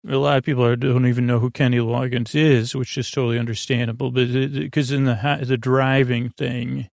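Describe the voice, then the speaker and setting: A man hosting a sleep podcast with a creaky voice, rambling in a soothing, meandering, silly way and fumbling his words as he improvises. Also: mid-range voice, little pitch movement, a little fast, a little mellow, no room sound, clean studio sound. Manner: soothing, lulling. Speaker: podcast host.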